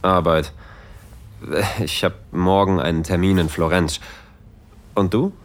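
Very deep-voiced, introverted man played by an actor. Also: fairly even intonation, slow pace. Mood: shy, flustered. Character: introverted, flustered male train traveler narrating a romantic encounter. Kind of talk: intimate audio drama narration and dialogue.

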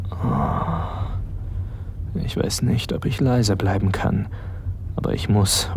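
An actor playing an aroused, hesitant young man in love with his best friend, narrating an intimate audio drama in a hushed, breathy voice that often slips into whispers. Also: on the low side, slight background noise, exaggerated voice. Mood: tense, aroused.

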